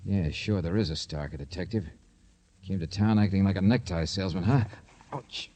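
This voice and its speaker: An actor playing a tough, wisecracking American private eye in a 1950s radio drama, terse, wry and insistent, on a noisy old recording. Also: male voice, low voice, normal speed, mellow. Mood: grim, wry.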